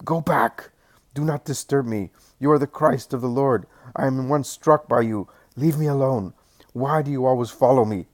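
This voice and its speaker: A shrieking, tormented demon, put on by a man reading the story aloud, cries out from inside a young girl. The voice jumps far above his usual pitch and comes out loud and frantic. It stays defiant throughout. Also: moderate pace, no echo, clean studio sound, natural voice.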